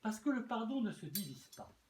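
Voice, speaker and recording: A man's voice: high-pitched, big pitch swings, normal speed. Earnest man preaching, voice goes up and down a lot, echoey room w/ faint hiss. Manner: earnest, repeats words, hesitant restarts. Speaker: bishop preaching. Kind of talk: sermon.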